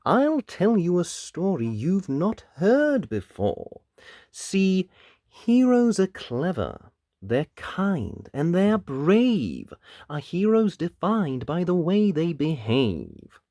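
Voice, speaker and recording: A kindly, timid storybook wolf, as a narrator puts him on. He speaks slowly in a raised voice, gentle, earnest and a little bashful. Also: mid-range voice, wildly swooping pitch, no echo, studio quality, voice pushed up, heavily acted.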